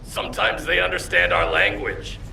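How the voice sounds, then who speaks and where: A man playing a brash, rule-bending soldier in a video game, with a gravelly voice. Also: sits high, big pitch swings, moderate pace, a little hiss or hum, exaggerated voice. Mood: cocky. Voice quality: gravelly, sharp. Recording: roomy, distant, music behind.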